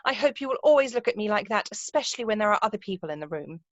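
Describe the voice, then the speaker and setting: A woman's voice: medium pitch, lively intonation, racing, no echo, close to an everyday voice. A woman playing a self-assured, romantic young upper-class lady in an amateur play read-through, speaking precisely and emphatically over a video call. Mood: coyly insistent. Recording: muffled, dull video-call audio.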